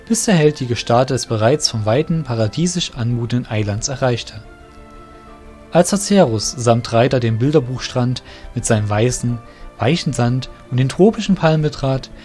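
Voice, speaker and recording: A man narrating a fantasy audio drama in an even, storytelling manner. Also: mid-range voice.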